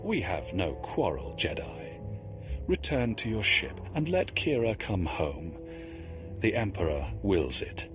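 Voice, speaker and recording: Sith villain: game role, very low, unhurried, mocking and courtly, devoted to his emperor. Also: very animated, over music, exaggerated voice. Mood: smug menace. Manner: measured, formal, taunting. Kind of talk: dramatic video game acting.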